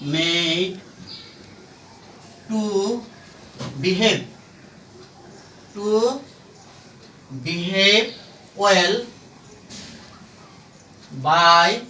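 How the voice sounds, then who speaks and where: A man teaching a grammar lesson at a board, speaking in deliberate, halting, emphatic phrases, recorded from a distance in an echoey room. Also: high-pitched, pushed and bright. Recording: roomy echo, distant mic, faint noise.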